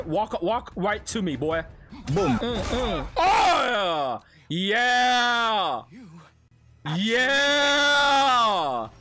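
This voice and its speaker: A man giving excited gameplay reaction commentary, often shouting and repeating his phrases rapidly. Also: very high voice. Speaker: game streamer and video creator. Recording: loud game music behind. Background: video game music and sound.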